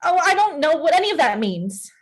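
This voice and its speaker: A woman acts a worried wife in a hospital drama table read. Her voice is high, and she questions everything with anxious distrust. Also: very animated, fairly quick. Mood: suspicious. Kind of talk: screenplay table read acting.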